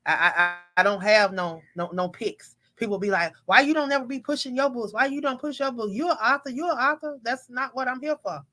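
Woman host, middle-aged, bright and a little high, preachy video-call talk. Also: fairly quick, hardly any pauses. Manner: preachy, restarts often, rising to exclaim. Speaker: podcast host. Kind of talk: casual faith-based panel talk. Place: home room on video call.

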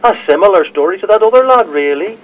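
A man's voice: sits high, expressive, fast. A man playing an unassuming, hesitant caller in an audio drama, heard over a dull call-in line. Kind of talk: audio drama staged as a podcast.

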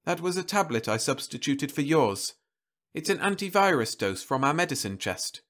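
A man reading a story aloud, voicing a young woman who speaks quietly and steadily. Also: on the high side, animated, moderate pace, voice pushed up. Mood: quiet, firm. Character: young woman Louise, calm and determined.

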